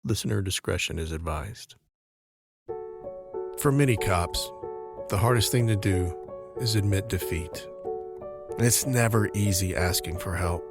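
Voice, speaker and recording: A man's voice: low voice, a little slow, pauses often, music in the background. A man giving scripted narration for an audio drama, describing each scene.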